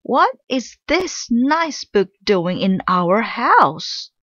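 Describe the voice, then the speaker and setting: Woman putting on a shrill, outraged mother witch. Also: sing-song, extremely slow, fairly bright tone, dry recording, highs cut off, full character voice. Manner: screeching, scolding, dramatic.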